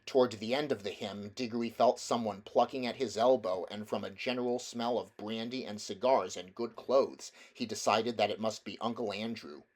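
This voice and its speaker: A man reading a children's novel aloud as a narrator, in a measured, deliberate storytelling manner. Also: lowish voice. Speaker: audiobook reader.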